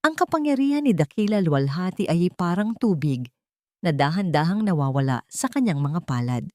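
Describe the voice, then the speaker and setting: A woman's voice: on the low side, sing-song, a little fast, warm tone, no room sound, clean studio sound. A woman giving scripted, dramatic narration of a story as its narrator.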